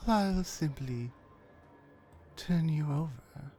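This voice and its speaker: A dominant orc warrior woman, acted by a woman for an ASMR roleplay, speaks very slowly and deliberately. Her pitch swings widely as she mocks and threatens, sometimes sinking into a whisper. Music plays behind her. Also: expressive, mellow, exaggerated voice. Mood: menacing. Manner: menacing, mocking, slow and deliberate, often dropping to breathy whispers. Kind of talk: ASMR roleplay, slow dramatic monologue.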